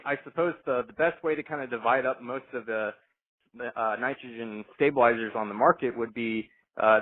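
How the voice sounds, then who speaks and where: A man giving an informal technical explanation as a products specialist, halting and repeating words as he goes. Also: mid-range voice. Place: conference call. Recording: muffled, band-limited conference call line.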